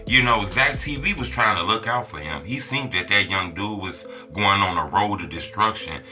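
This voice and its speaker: A man's voice: lowish voice, normal speed. An American man hosting a street-culture interview channel, speaking in casual, conversational slang. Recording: music behind the voice.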